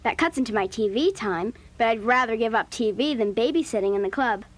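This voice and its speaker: A style-conscious preteen girl in a children's audio drama. Her voice is high and youthful, and she speaks in a frank, matter-of-fact way. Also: lively intonation, fairly quick. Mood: pleased.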